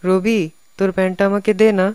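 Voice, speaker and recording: A man plays a hapless young cartoon suitor. He sounds whiny, plaintive and easily hurt, and there is clear noise behind his voice. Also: high voice, little pitch movement, fast talker, close to an everyday voice. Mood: sulky, embarrassed. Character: whiny lovesick young man, butt of his friends' jokes. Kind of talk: cartoon voice acting.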